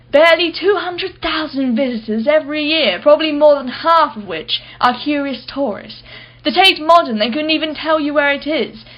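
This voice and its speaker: An actress playing a wealthy, privileged art collector in a radio play, with an arch, articulate, sardonic manner. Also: female voice, high voice, muffled recording, close to an everyday voice. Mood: haughty, defensive. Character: haughty, wealthy, privileged female art collector, witty and defensive.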